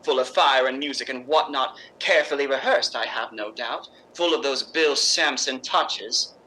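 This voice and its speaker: A woman's voice: extremely low pitch, normal speed, pushed and bright, rough recording. A woman playing a proud, volatile stage actress with a biting, sarcastic theatrical delivery in a radio drama. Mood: furious, scornful. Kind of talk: radio drama acting in 1950s style.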